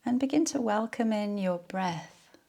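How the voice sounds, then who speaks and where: Woman doing guided meditation, really slow n soft, bit of creaky fry in her voice. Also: medium pitch, lively intonation. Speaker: yoga nidra teacher.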